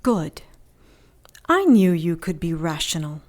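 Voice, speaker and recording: A woman playing a defiant, sharp-tongued heroine in an audio drama, sarcastic and deliberate in manner. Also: fairly low pitch, big pitch swings. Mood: firm, sarcastic. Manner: sarcastic, deliberate, bargaining coolly.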